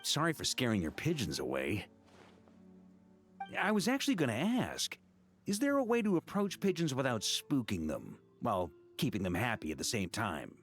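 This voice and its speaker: An actor playing a boisterous, friendly knight commander in a video game, hearty and playful, with music underneath. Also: male voice, mid-range voice, huge pitch swings, normal speed, a bit bright. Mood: gentle, friendly.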